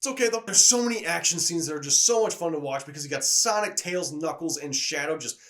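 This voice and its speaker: A man's voice: a little high, lively intonation, fast, a bit bright. A man reviewing movies in a casual, conversational video style, enthusiastic and openly opinionated.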